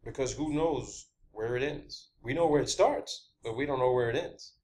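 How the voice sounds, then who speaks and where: A man's voice: mid-range voice, normal speed, darkish tone, slight background noise. A man hosting his own video channel, talking off the cuff in an emphatic, explanatory monologue and repeating phrases for stress.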